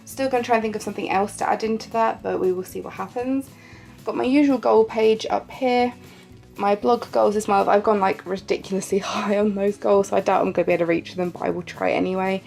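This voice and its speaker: A woman's voice: medium pitch, steady tone, brisk, gravelly, a little mellow, soft background music. A woman with a blocked nose and a nasal sound, chatting casually and poking fun at herself as she walks through her pages. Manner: chatty, self-deprecating, laughs lightly at herself. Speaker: vlogger.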